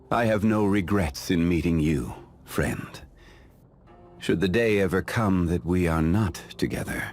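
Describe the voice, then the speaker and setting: A man playing an ancient, calm, dignified gentleman in a video game, speaking in a formal, measured, reflective way. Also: deep voice. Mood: wistful, warm. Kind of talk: scripted video game character voice lines.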